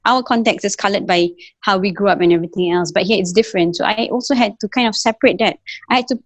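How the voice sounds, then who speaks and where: A woman's voice: medium pitch, quick pace, no echo. A woman from Singapore with a Singaporean English accent that slips into Malay words, speaking earnestly as an interview guest and often restarting her sentences. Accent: Singaporean English with Malay code-switching. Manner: earnest, with frequent restarts and fillers. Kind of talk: casual conversation.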